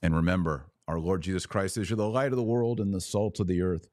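A man's voice: low voice, fast, darkish tone, no room sound, clean studio sound. A man hosting a podcast, giving casual religious commentary in a colloquial, musing way with punchy, repeated short phrases. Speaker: podcast host.

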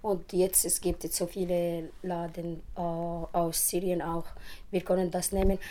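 A woman from Syria, a native Arabic speaker, answers interview questions in simple, halting German. Her voice is low, flat and mellow, with faint noise behind it.

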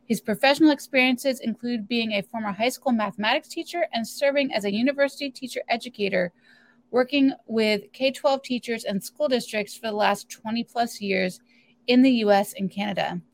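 A woman hosts an online meeting, welcoming everyone warmly before she reads the announcements and the speaker's biography at a steady pace. Her voice sits a little above average in pitch. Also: a little fast. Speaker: webinar host. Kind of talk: reading an introduction.

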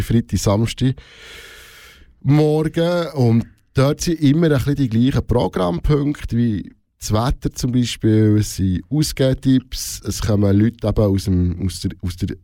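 A man's voice: medium pitch, mellow, no echo, studio quality. A man with a Swiss German accent answers interview questions casually as a radio host, often rephrasing and repeating words as he searches for them.

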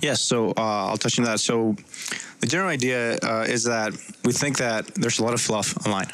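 A man's voice: medium pitch, normal speed, a bit bright, a little hiss or hum, poor audio. A young man from Puerto Rico explaining things conversationally as an interview guest. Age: young adult. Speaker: guest, startup co-founder.

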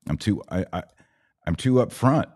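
American man, very low voice. Podcast host, conversational but preachy, talking faith and politics to live viewers. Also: animated, normal speed, a little mellow. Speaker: podcast host and religious commentator. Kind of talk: live religious and political commentary, answering viewer comments.

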